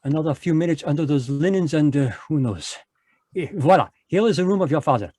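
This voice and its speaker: A man's voice: a little high, expressive, fairly quick, warm tone, dry recording, clean studio sound. A man playing a fussy Belgian detective with a French-flavored accent and formal phrasing, in a live radio play over video call. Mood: knowing. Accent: French-flavored English, put on. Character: fussy Belgian male detective, precise, commanding.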